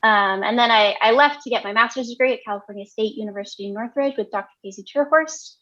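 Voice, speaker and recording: A woman's voice: a little high, fast talker, fairly bright tone. Young woman on dull lo-fi call audio. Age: young adult. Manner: hesitant, many fillers. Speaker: new science staff member. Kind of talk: self-introduction at a public meeting.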